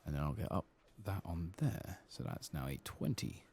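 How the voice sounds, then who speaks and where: A man giving soft-spoken, partly whispered ASMR commentary as he plays a game, murmuring his thoughts aloud. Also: sits low, sing-song, normal speed, gravelly, slight background noise. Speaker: gaming channel host.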